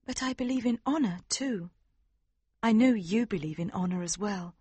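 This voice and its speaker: Man playing a gentle dutiful young princess, storybook, high voice, breathy, dry close sound. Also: normal speed, no room sound. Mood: tender. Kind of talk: audiobook character voice.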